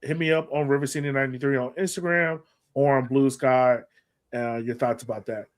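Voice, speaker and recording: Guy podcast host, average pitch, loose slangy sports chat, real informal. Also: steady tone, fairly quick. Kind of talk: casual soccer podcast chat.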